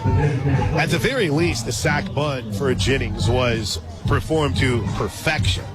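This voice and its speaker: A man with an American accent calling softball play-by-play for radio in a conversational, excitable manner. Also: medium pitch, takes it slowly. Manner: conversational, excitable on big plays. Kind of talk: live softball commentary. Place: outdoor stadium radio booth. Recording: loud crowd and music, outdoors. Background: loud crowd and music.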